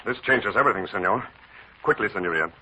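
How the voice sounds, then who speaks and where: A man's voice: lowish voice, quick pace, bright, forceful tone, a little hiss or hum. An actor playing a commanding male hero pilot in a 1940s radio drama. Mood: brisk commanding. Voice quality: crisp, commanding. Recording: dull lo-fi old radio broadcast.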